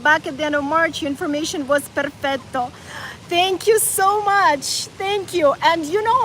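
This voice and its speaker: A high-pitched woman in her forties talks eagerly while strolling outdoors, her phone picking up a faint hum of traffic behind her. She chats to a live audience and often doubles back on her words. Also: a bit bright, low-quality recording. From Ukraine, raised in North Carolina. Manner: enthusiastic, chatty, repeats words as she talks. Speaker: travel vlogger. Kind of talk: live-streamed walking travel chat. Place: outdoors in a city park and square.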